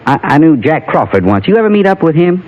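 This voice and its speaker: A man's voice: a little high, big pitch swings, fast talker. An American actor playing a bitter, wistful condemned outlaw in his thirties, with a rustic frontier drawl, in an old radio western. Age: 30s. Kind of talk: 1950s radio western acting. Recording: dull lo-fi vintage radio recording.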